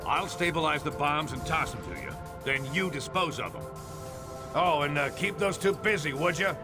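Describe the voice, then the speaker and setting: An actor playing a gruff older spymaster in a video game cutscene, talking in a folksy, wry, insistent way. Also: male voice, fairly high pitch, moderate pace, over music, lightly acted. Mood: urgent.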